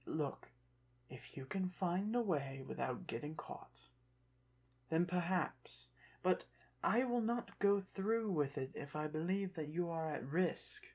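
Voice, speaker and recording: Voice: mid-range voice, animated, a little mellow, husky, full character voice. An actor voicing a proud, touchy ancient Egyptian revived from the dead, speaking formally and guardedly in an ASMR roleplay. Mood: alarmed, resigned. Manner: formal, haughty, guarded, halting phrases. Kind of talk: ASMR roleplay, one side of a dialogue.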